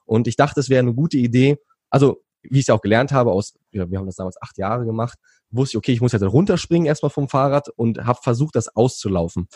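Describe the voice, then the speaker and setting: A man's voice: mid-range voice, expressive, quick pace, a little mellow, breathy edge, no echo, clean studio sound. A young man from Berlin, recounting his youth as an interview guest in a rambling way, with many fillers and restarts. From Berlin, Germany. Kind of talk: relaxed conversational storytelling.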